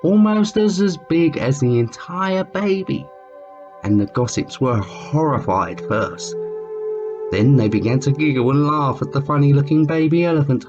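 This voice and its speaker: Expressive man reading aloud, muffled with music. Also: fairly high pitch, moderate pace. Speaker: amateur storyteller reading a children's book aloud. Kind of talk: expressive read-aloud storytelling. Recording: muffled lo-fi sound with music behind.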